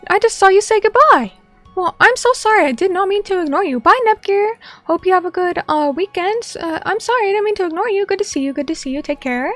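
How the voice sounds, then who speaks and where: A woman's voice: extremely high pitch, lively intonation, fast talker, a bit bright, no room sound, soft background music. A young woman streamer chatting casually and reading viewer comments, bubbly and chatty, greeting people by name.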